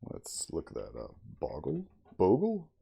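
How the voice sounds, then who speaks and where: A man reading a classic novel aloud as ASMR, in a soft, intimate, slow dramatic storytelling manner. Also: lowish voice, huge pitch swings, gravelly, slightly rough. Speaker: audiobook reader in character as the narrator.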